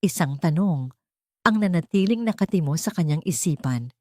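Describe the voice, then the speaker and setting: Lower-pitched woman narrating a dramatic story. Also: expressive, normal speed, dark tone, dry recording, studio quality.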